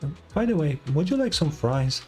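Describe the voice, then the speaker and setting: Man reading as a polite fast-food girl. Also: a little high, very animated, faint music behind, pitched above the performer's own voice. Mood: helpful. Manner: polite, customer-service helpful. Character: helpful, salesy female fast-food stall worker. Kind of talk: reading game dialogue aloud.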